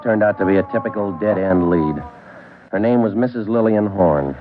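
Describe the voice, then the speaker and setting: A tinny 1940s radio crime drama with loud music. An actor plays a stoic American police detective, very low and deadpan. He lays out his case in terse, weary, factual sentences. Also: moderate pace, close to an everyday voice. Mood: flat, businesslike. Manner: deadpan, matter-of-fact, terse. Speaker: radio drama lead and narrator. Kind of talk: 1940s radio acting, clipped police narration.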